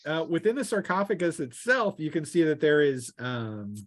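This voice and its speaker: A man running a tabletop fantasy game as game master, improvising narration and often pausing mid-sentence. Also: on the high side, sing-song, dry recording, studio quality.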